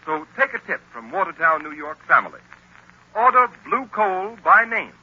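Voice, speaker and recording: A man's voice: high-pitched, very animated, normal speed, husky. A male announcer reading an emphatic, polished advertisement in 1930s radio style. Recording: lo-fi, dull old radio recording.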